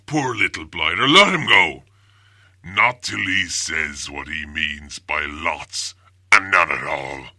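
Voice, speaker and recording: Big thick cockney troll, gravelly and loud, slow-witted bully. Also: male voice, rumbling low, animated, dry recording, studio quality, pitched below the performer's own voice, exaggerated voice. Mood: grumbling. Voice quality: gravelly, forceful. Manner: slow-witted, rough, blustering speech. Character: big, dim, quarrelsome, brutish male trolls.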